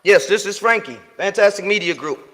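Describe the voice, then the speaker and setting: Bright slangy male podcast host. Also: high-pitched, animated, brisk, in a large hall. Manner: loose and slangy, many fillers and restarts. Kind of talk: casual live show chat.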